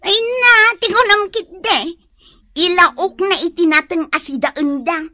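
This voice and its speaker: A woman's voice: very high voice, unhurried, no echo, exaggerated voice. A woman with an Ilocano accent playing a boastful, vain, taunting neighbour in a radio drama. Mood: mocking. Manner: taunting, sharp-tongued.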